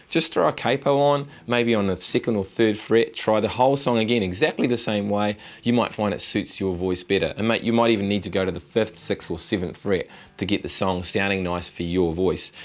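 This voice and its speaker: A man in his thirties with a low baritone voice, casually teaching chords in a studio guitar lesson. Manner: friendly, explains chords while strumming and singing snippets. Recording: acoustic guitar playing under voice.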